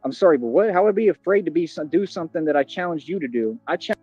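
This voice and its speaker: A man's voice: a little high, lively intonation, very fast, dark tone, slightly rough, no room sound, faint music behind. A man ranting boastfully and confrontationally on a livestream, heavy on slang. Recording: dull, dry lo-fi stream audio.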